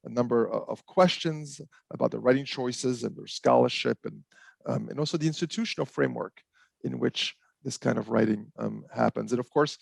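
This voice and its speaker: Man, panel moderator, very gravelly voice, dry close sound, hesitant with pauses, video call. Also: mid-range voice, dry recording. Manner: hesitant, many ums and restarts. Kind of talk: introducing a panel event.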